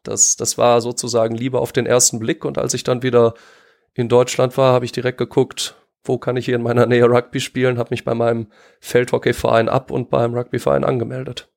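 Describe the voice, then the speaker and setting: A man's voice: medium pitch, completely flat delivery, fairly quick, gravelly, a little mellow. A man, a rugby player, describing his start with enthusiasm and self-irony. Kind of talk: casual interview answers.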